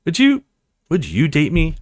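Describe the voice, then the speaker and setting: A petite young woman from a visual novel, acted by a man, insecure yet teasing and affectionate. The pitch swoops around freely with a plaintive, playful edge, in a dry close recording. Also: huge pitch swings, a little slow, no room sound. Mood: hesitant, curious. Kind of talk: visual novel character read-aloud.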